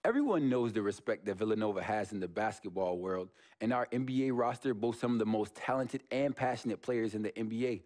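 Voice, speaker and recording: An actor playing a college recruiter, reading a formal, measured pitch letter in a sports game cutscene. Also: male voice, expressive, a little mellow, close to an everyday voice.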